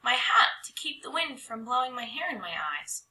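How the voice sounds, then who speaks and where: A woman voicing a plucky, quick-witted child in a storybook, speaking in an earnest, coaxing way. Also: on the high side, sing-song, pushed and bright, pitched above the performer's own voice, exaggerated voice, poor audio. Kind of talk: storybook read-aloud dialogue.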